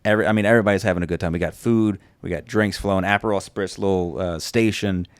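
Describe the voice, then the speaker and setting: A man hosts a casual podcast chat, rattling through stories at a quick clip. His voice sits a bit low. The sound is close and dry, with no room around it. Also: smooth, no room sound. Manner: animated storytelling with fillers. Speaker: podcast host.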